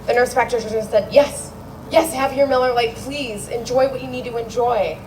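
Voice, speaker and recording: A young woman giving a memorial speech for her father into a handheld mic, telling the story with warmth and dry humor. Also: on the high side, steady tone, normal speed, slight background noise, rough recording. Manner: heartfelt, wry storytelling. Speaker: daughter giving a eulogy speech. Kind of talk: memorial speech read aloud. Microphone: handheld microphone.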